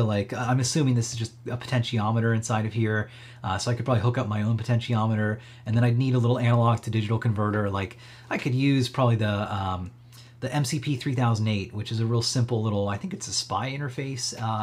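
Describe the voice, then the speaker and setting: A man with a slightly husky voice hosting a casual live tech demo, thinking aloud in a rambling, conversational way. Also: fairly low pitch, darkish tone. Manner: conversational, rambling, frequent hedging asides. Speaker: livestream host demonstrating electronics projects.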